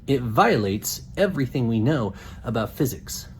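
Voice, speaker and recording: A man's voice: medium pitch, expressive, normal speed, husky. A man hosting a debate, speaking in an emphatic, challenging, argumentative way. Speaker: show host debating.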